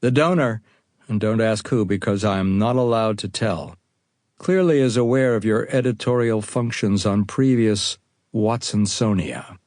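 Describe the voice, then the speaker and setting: American male audiobook narrator, low register, close dry studio sound, first-person account. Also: a little slow, a little mellow, dry recording. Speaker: author reading own audiobook.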